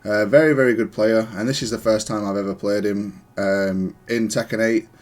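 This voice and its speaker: A man's voice: on the low side, steady tone, moderate pace. A man giving casual, analytical commentary on his own gaming match, explaining his decisions with some self-correction. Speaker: gamer reviewing own match. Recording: music playing behind the voice. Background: music.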